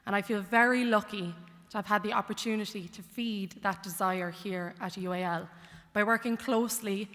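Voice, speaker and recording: A young woman with a bright, clear voice delivers a heartfelt graduation address, mixing sincerity with light humour. Her words carry through a lectern microphone, trailing a long reverb across a large concert hall. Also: medium pitch. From Ireland. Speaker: graduate speaker. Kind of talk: commencement speech.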